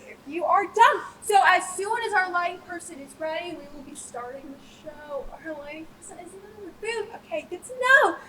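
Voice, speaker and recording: A woman's voice: extremely high pitch, pushed and bright. A teenage girl playing a flustered, exasperated young stage director who addresses an audience with sarcasm. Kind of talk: comedic stage monologue to an audience. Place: theatre stage at a competition. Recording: distant stage microphone, faint noise.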